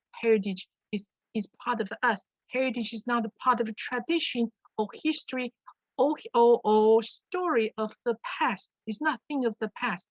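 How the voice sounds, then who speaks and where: A woman's voice: a little high, dry recording. Woman on zoom, earnest, repeats words, dull dry mic. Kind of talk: panel discussion on video call. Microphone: video call.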